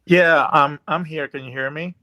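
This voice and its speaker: A man in his fifties from Puerto Rico with a Puerto Rican accent, talking about himself on a video call in an earnest, halting way with many fillers. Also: on the high side, fairly even intonation, sharp tone. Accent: Puerto Rican Spanish. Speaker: guest. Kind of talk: casual video call interview.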